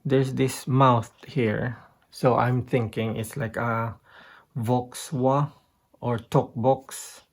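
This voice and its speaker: A man with a Filipino accent giving a casual, unscripted guitar gear demonstration, haltingly thinking aloud as he goes. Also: mid-range voice, fairly even intonation, slightly rough. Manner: halting, thinking aloud while pointing out settings.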